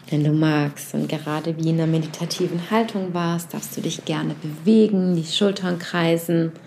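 Low-voiced woman doing a guided meditation, soft and unhurried, faint hiss behind her. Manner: soft, unhurried, series of reflective questions; addresses the listener directly and gently. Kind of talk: guided year-end reflection meditation.

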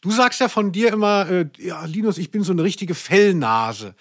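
A man's voice: high-pitched, expressive, moderate pace, dry recording, studio quality. A man co-hosting a podcast, chatting and commenting casually in a wry, teasing way. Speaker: podcast co-host. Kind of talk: casual chat and commentary on radio plays.

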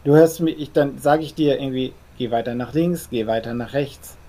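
A man's voice: medium pitch, moderate pace, warm tone, lightly acted. A man playing a nervous, perfectionist vampire in a role-play audio drama, stammering and repeating words in a formal, earnest way. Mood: hesitant instructing.

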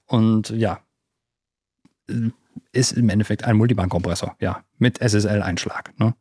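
A man's voice: lowish voice, fairly even intonation, measured pace, warm tone, breathy edge, no echo, studio quality. A man co-hosting a podcast, giving a casual, enthusiastic gear review with colloquial wording and English tech jargon mixed in. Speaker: podcast co-host presenting music gear.

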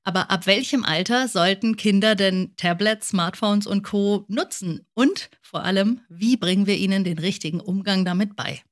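A woman's voice: mid-range voice, normal speed, few pauses. A woman presenting and interviewing as a podcast host.

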